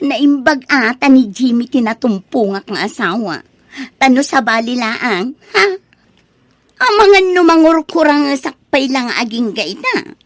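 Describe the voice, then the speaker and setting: A woman's voice: on the high side, lively intonation, measured pace, dry recording. A woman playing a vain, money-minded young village bride-to-be in a comic radio drama, with an Ilocano Filipino accent. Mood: boastful. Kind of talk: comedic radio drama acting.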